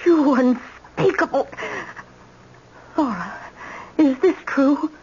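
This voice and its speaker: A woman's voice: high-pitched, expressive, slow, darkish tone. Proud, steely grandmother, dramatic old-time radio storytelling, muffled and hissy sound. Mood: outraged. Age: older. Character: tough, proud widowed grandmother confessing family tragedy.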